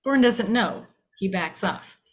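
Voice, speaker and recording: A woman narrates the action of a screenplay. Her voice is of average female pitch. She reads stage directions dramatically, in short, punchy, clipped phrases. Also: expressive, slow.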